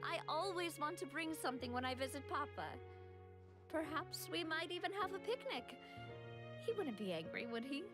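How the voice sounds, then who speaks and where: A high, youthful female voice playing an earnest young noblewoman in an anime game. She is dutiful and emotional, a leader carrying grief, and music plays underneath. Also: lively intonation, normal speed. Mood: wistful. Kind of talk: anime-style video game drama acting. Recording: music behind.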